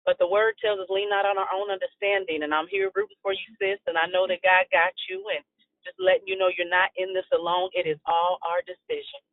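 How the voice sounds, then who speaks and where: A man calls into a prayer line and gives an earnest, preacherly testimony. His voice sits a little high, and it comes through a thin phone line. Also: expressive, fairly quick, creaky, dry recording. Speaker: caller.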